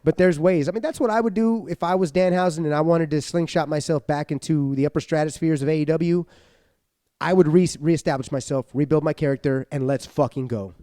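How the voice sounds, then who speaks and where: Excitable swearing dad, podcast co-host. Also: male voice, a little high, brisk, dry recording, clean studio sound. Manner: animated ranting, heavy swearing. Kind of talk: casual chat.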